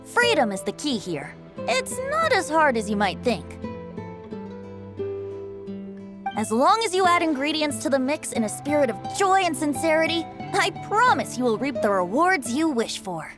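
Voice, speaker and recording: An actor voicing a playful young bard who speaks in a lilting, singsong way in a game. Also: male voice, very high-pitched, big pitch swings, normal speed, music in the background. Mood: reassuring. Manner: lilting, singsong, theatrical sighs. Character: playful young male bard, carefree and teasing.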